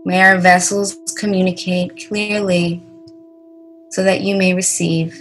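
A woman leading a guided meditation in a soothing, deliberate way, leaving long pauses between short phrases. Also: on the low side, flat delivery, a bit bright, faint music behind.

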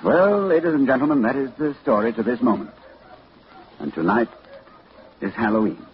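An actor as grave old-radio scholar. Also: male voice, medium pitch, sing-song, normal speed, natural voice. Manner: urgent, commanding, hushed at times. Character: grave middle-aged male scholar Doctor Armitage. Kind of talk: 1940s radio acting. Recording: muffled old radio broadcast with hiss.

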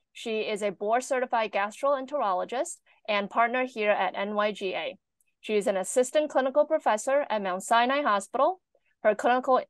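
A woman's voice: fairly high pitch, dry recording, clean studio sound. Woman moderator reading formal introductions. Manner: formal, reads bios and housekeeping notes. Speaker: webinar moderator. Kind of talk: read introduction. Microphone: video call.

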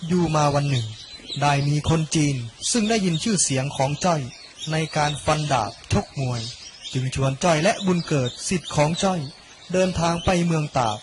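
A young man reading a scripted narration for an animated story. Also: on the high side, moderate pace, noisy background. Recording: loud music under the voice. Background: loud background music.

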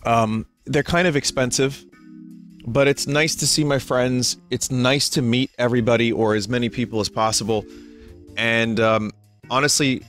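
Slightly hoarse, tired man chatting. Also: mid-range voice. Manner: relaxed, rambling, tired. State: a little hoarse. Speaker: streamer. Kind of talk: casual chat to stream viewers. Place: home room.

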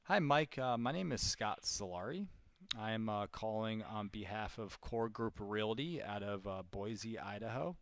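Low-voiced man making sales calls. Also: animated, measured pace, dry recording. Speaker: telemarketing caller for a real estate group. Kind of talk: live cold call, casual phone chat.

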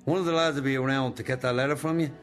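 An older Irish actor in a radio play, playing a controlling old boss with a deliberate, coaxing manner and benign menace. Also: male voice, mid-range voice, steady tone, a bit bright, soft background music, lightly acted. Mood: brisk, commanding. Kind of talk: radio drama acting.

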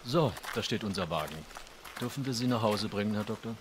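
An actor playing a formal, courteous 1920s Viennese gentleman in a radio drama, polite and matter-of-fact. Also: lowish voice, expressive, fairly quick, background noise, close to an everyday voice. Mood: sober, polite.

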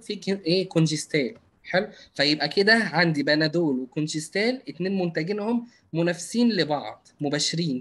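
A man with an Egyptian Arabic accent teaching marketing in a live online class over a video call, talking fast with many drawn-out fillers and frequent check-ins. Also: high voice, a bit bright. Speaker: marketing instructor. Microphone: computer mic on video call.

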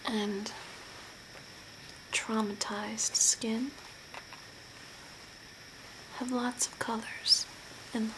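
A young woman playing an attentive, macabre zombie makeup artist in a whispered ASMR role play, with long pauses. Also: a little high, level tone. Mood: soft, attentive. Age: young adult. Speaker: ASMR performer. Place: small curtained room indoors. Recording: noticeable background hiss and ambience.

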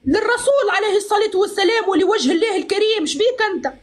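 A woman's voice: very high-pitched, animated, racing. A woman in her twenties hosting a live stream from a phone, chatting and teasing guests in a playful, mock-pious way. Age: 20s. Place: small room indoors. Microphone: phone at arm's length.

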